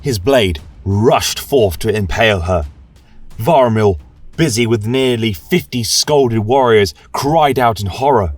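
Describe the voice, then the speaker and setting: Low voiced guy telling a fantasy story, slow n solemn, music behind him. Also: distant mic. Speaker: storyteller, podcast narrator. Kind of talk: solemn dramatic scripted fantasy narration.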